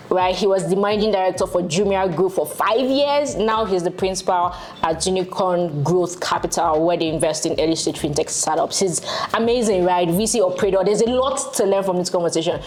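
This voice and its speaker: A young woman hosting an interview show, energetic and bubbly. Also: on the low side, a little hiss or hum. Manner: energetic, bubbly, laughs often. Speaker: host. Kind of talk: interview host, upbeat intro.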